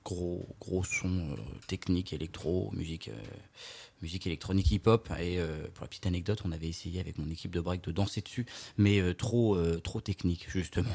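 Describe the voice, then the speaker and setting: A man's voice: low-pitched, fairly even intonation, fairly quick, gravelly, warm tone, breathy edge. A man co-hosting a music radio show, talking casually and hesitantly with many fillers. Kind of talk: casual on-air chat about music.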